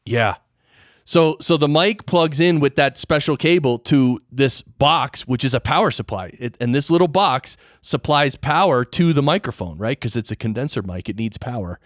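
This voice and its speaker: A man's voice: medium pitch, animated, moderate pace, dry recording. Man hosting a casual podcast, dry sound. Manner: informal, explanatory, checks understanding with questions. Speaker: podcast host interviewing a guest. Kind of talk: casual interview chat about audio gear and production.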